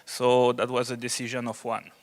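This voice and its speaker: A man with a strong French accent speaks at a medium pitch in a big reverberant hall. He gives an unscripted technical presentation to a conference, explaining as he goes. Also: monotone, moderate pace. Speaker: conference presenter, network engineer.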